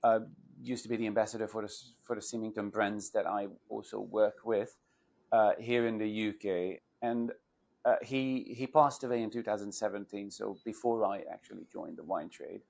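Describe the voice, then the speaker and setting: A man's voice: fairly low pitch, fairly even intonation, normal speed, gravelly, darkish tone. A man in his forties from Hungary, with a Hungarian accent, speaking English on a video call as a port wine educator, thoughtful with many fillers and restarts.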